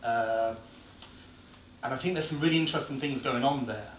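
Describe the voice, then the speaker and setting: A man's voice: medium pitch, normal speed. An Irish-born man with an English accent giving a blunt, informal conference talk with frequent filler words. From Ireland. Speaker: conference speaker. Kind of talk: conference presentation. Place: conference hall. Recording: echoey hall, distant mic, faint noise.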